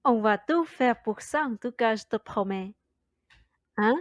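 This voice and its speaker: Woman doing meek dad in a radio play, slowish and hesitant, kinda high voice, dodgy. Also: dry recording, studio quality, natural voice. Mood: placating. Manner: hesitant, evasive. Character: meek, evasive father eager to keep peace. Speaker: student actor. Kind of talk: radio drama acting.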